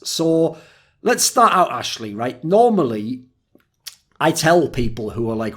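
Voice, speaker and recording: A man hosting a casual interview, asking long, winding questions full of likes and restarts. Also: medium pitch. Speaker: host.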